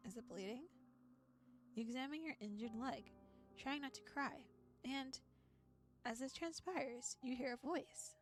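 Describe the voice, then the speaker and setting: A woman voicing an arch, formal female narrator, reading visual novel text in a deliberate, dramatic way. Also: high voice, soft background music. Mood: hushed, ominous. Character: arch, ornate female narrator of a dark dream tale.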